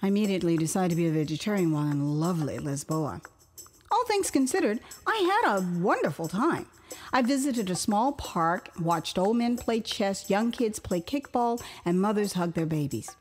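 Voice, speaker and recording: An older American woman reads her memoir like an audio drama, with theatrical expression and wry, amused asides. Her voice sits at an average pitch for a woman. Music plays faintly behind the narration. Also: very animated, moderate pace. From Houston, Texas, United States. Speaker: author reading her own memoir. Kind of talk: dramatized first-person storytelling narration.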